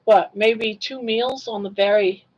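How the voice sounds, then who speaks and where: A woman's voice: fairly high pitch, fairly even intonation, a little slow, a little mellow, breathy edge, highs cut off. An older woman hosting a casual livestream, telling stories in a chatty way, laughing often and reacting to chat comments. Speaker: livestream host.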